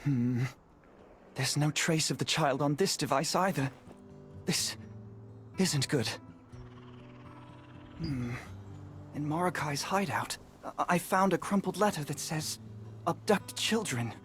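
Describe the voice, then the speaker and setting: Earnest, higher-voiced young-sounding game investigator. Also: male voice, animated, slow pace, pauses often, a bit bright, faint music behind. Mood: worried. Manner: polite, earnest, hesitant when worried. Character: earnest, courteous male resistance investigator, anxious about a missing child. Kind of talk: video game character dialogue.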